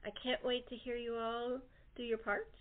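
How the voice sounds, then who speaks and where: A woman's voice: fairly high pitch, level tone, moderate pace. A woman hosting a casual interview, speaking in a hesitant, appreciative way. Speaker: talk show host. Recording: phone-like narrow band.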